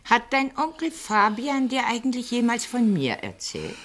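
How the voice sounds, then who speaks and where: A woman's voice: fairly high pitch, big pitch swings, moderate pace, a bit bright. A woman playing an imperious, calculating older aunt in a 1960s radio play, with a dry, pointed and formal delivery. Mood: sly reminiscing.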